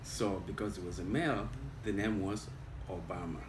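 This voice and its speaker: Kenyan man with a low voice, explaining patiently. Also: normal speed. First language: Luo. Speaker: interviewee. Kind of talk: casual interview answers. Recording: echoey room, mic at a distance, noisy.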